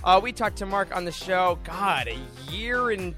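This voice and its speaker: A man's voice: high voice, big pitch swings, unhurried, over music. A man hosting a podcast in casual, enthusiastic interview chat. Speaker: podcast host. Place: remote video call.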